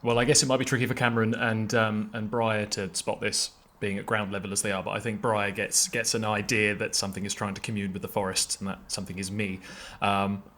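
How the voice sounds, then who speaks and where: A man playing a tabletop roleplaying game, describing his character's actions in a halting, conversational way as he feels out each phrase. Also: lowish voice, little pitch movement, fairly bright tone. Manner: halting, feeling out phrases with repetitions.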